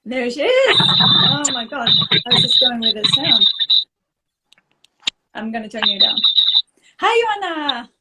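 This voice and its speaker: A woman's voice: sits high, sing-song, takes it slowly, long pauses, heavy background noise. A woman yoga instructor hosting a casual live stream and video call, upbeat, laughing often, with fillers and restarts.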